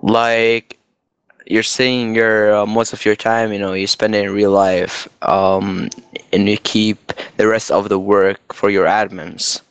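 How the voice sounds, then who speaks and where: Flat-voiced hesitant man, dry sound. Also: lowish voice, takes it slowly, clear, resonant tone, dry recording. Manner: hesitant, lots of fillers. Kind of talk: casual voice chat.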